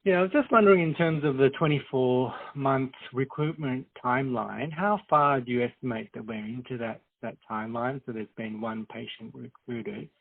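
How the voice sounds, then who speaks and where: Guy calling in w/ questions, casual, lots of fry, muffled lo-fi line. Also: mid-range voice, moderate pace, few pauses. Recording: dull lo-fi phone connection.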